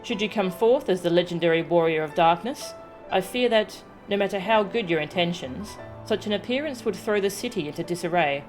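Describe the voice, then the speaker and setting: A woman voicing a courteous, solemn fantasy leader from a video game in formal, measured phrases, with music behind her. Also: fairly low pitch, animated, lightly acted. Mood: grave, formal.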